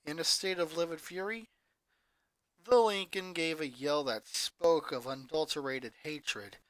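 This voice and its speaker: A man's voice: a little high, a bit bright, no room sound. A man dramatically reading a fanfic aloud and narrating the action. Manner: dramatic, building intensity. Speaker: fanfic reader.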